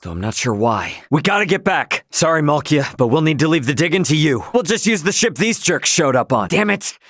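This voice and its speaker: A man voicing a brash, loyal young male captain in a video game, talking in an informal, earnest, expressive way. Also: on the high side, brisk, no echo, studio quality. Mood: urgent, worried.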